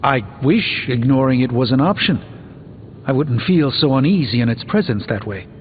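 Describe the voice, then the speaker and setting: A man's voice: medium pitch, animated, a little hiss or hum, lightly acted. Male actor as scholarly sorcerer, cautious, dry-witted, articulate, game dialogue, long reverb, narrow muffled sound. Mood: measured, uneasy. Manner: measured, articulate, formal. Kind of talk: video game voice acting. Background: music.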